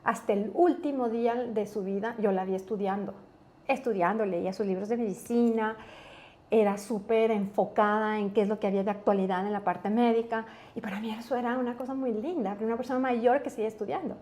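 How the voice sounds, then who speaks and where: A woman with an Ecuadorian accent telling family stories as an interview guest, earnest and animated, often restarting her sentences. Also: on the high side, brisk. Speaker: guest, business executive. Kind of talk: casual interview storytelling.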